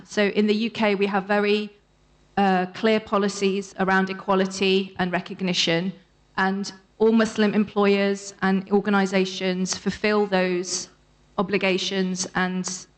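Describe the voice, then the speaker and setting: Woman on a conference panel, academic type, measured, explains stuff step by step. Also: medium pitch. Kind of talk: answering audience questions on a panel.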